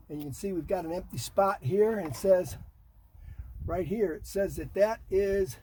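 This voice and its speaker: A man's voice: sits high, mellow, slight background noise. An older man giving a casual hands-on install demonstration in short, folksy, punchy phrases, recorded inside a vehicle. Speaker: DIY vlog host. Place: inside a parked Jeep.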